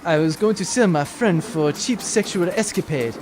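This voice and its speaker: A man's voice: fairly high pitch, moderate pace, music in the background, lightly acted. A man playing a theatrical, mock-formal Frenchman with a French accent in a comic audio drama, indignant and easily tempted. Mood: dramatic.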